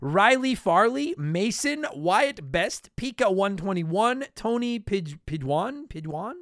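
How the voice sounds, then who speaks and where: A man hosting a podcast in a casual, joking, self-deprecating and blunt way, his voice slightly hoarse from a lot of talking. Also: high voice, big pitch swings, slow, fairly bright tone, no echo, clean studio sound. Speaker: podcast host. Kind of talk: casual solo podcast talk with promotional plugs.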